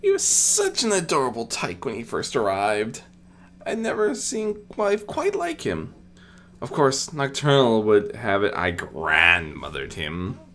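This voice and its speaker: A narrator voicing a calm, motherly ancient goddess, lively pitch. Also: a little slow, slight background noise, heavily acted. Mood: fond, amused. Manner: calm, formal, indulgently fond. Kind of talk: amateur audiobook character reading.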